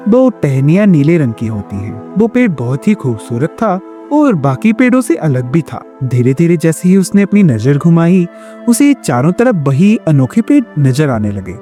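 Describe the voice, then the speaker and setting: A man's voice: on the high side, very animated, moderate pace, mellow, faint music behind. A man narrating a scripted moral tale as a storyteller.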